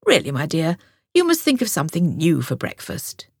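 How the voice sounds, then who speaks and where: A woman's voice: low-pitched, huge pitch swings, normal speed, gravelly, breathy edge, no echo, clean studio sound, full character voice. A woman voicing a conceited, lazy male hare in a storybook reading, drawling as he yawns. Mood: lordly. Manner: drawling, yawning.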